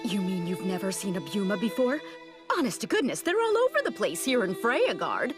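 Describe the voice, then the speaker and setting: Woman voicing a breezy, very animated beast-woman. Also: medium pitch, brisk, music in the background, natural voice. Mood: amused, incredulous. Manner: playful, breezy, folksy. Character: teasing, friendly tailed beast-woman, youthful village mother. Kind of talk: English anime dub acting.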